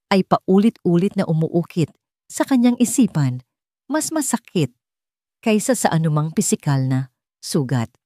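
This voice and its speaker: Lower-voiced woman narrating a dramatic story. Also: darkish tone, no echo, studio quality. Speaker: audiobook narrator.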